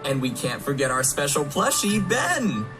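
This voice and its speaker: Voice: mid-range voice, huge pitch swings, moderate pace. A presenter giving upbeat, chummy scripted patter on a game livestream program. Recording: music playing behind the voice.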